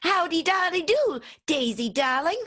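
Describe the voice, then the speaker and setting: A woman's voice: very high-pitched, very animated, a little slow, a bit bright, exaggerated voice. A woman playing a naive, scheming apprentice werewolf with rustic, folksy speech in an improvised radio play. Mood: chipper.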